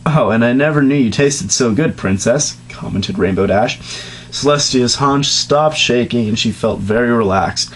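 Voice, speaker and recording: A man reads a story aloud in a mid-pitched, matter-of-fact voice. His delivery is deadpan and steady. A faint hum sits under the recording. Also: moderate pace. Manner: deadpan reading broken by fits of high laughter. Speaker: dramatic reader. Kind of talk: comedic dramatic reading of fan fiction.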